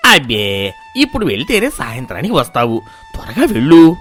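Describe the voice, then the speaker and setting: A man's voice: medium pitch, huge pitch swings, normal speed, fairly bright tone, soft background music. A man voicing a stingy, scheming old grandfather in a moral story, bossy and dismissive as he haggles. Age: older. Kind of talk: animated moral-story voice acting.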